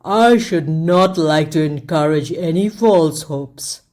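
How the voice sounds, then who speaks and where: Lady doing a wicked king voice, low and slow, cold and bossy, storybook villain. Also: a little mellow. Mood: menacing. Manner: slow, deliberate, commanding. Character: wicked middle-aged king, cold and menacing.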